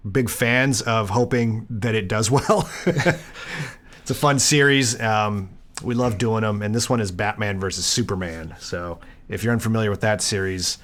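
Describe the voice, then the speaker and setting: A man's voice: on the low side, normal speed, fairly bright tone. A man talking casually and conversationally as the co-host of a podcast.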